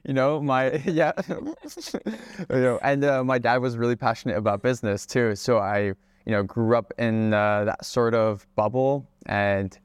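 A young man raised in Hawaii, a guest on a casual podcast interview, talking in a relaxed way with plenty of fillers and restarts. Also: mid-range voice, measured pace, smooth, dry recording. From Czech Republic, raised in Hawaii. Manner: relaxed, many fillers and restarts, laughs often. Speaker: guest, travel creator.

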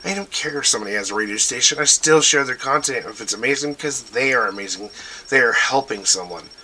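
A man's voice: medium pitch, quick pace, pushed and bright, slight background noise, poor audio. A man hosting a casual livestream show, rambling as he urges listeners to check out pages and artists. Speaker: show host. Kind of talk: casual livestream shout-outs.

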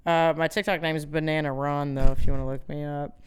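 A woman's voice: sits low, level tone, fairly quick, clear, resonant tone. A woman co-hosting a podcast in casual comic chat, telling rambling stories in slangy, joking language. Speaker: podcast co-host.

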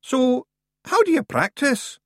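A gruff, world-weary little warrior with a lilt, as a male narrator puts him on. The voice climbs high and swings widely, recorded dry and close. A storybook figure, blunt and tired. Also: very animated, slow pace, a bit bright, no echo, full character voice. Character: gruff Scottish-sounding little man, weary.

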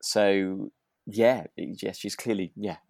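A podcast co-host chatting in a relaxed, rambling way about a radio drama, often breaking off and rephrasing. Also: low voice, slow, vocal fry, dry recording, studio quality.

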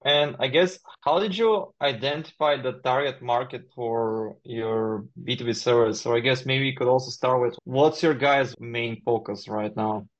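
A man's voice: medium pitch, normal speed, few pauses. A man with a mid-range voice hosts an interview as a casual chat. His phrasing is informal and loose, like everyday conversation. The recording is a little dull and lo-fi, as on an online call. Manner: informal, frequent "like" and "I feel". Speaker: podcast host. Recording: slightly dull lo-fi call audio.

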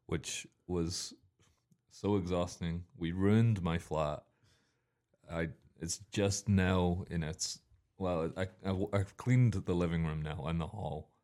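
A man's voice: low voice, steady tone, slow pace, a little mellow. A young man co-hosting a student radio show, chatting casually and rambling, correcting himself and joking. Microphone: studio microphone.

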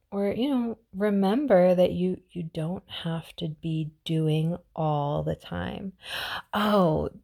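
Slow, low-pitched woman musing gently. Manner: chatty with frequent restarts, drops to soft whispers. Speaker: mindfulness podcast host. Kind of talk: casual reflective monologue.

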